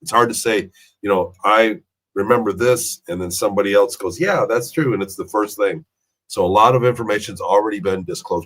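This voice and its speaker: A man talking as a guest on an online show, hoarse from a cold. His voice sits fairly low, and he talks in an earnest, rambling way with many fillers. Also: fairly bright tone, rough recording. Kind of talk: casual interview talk.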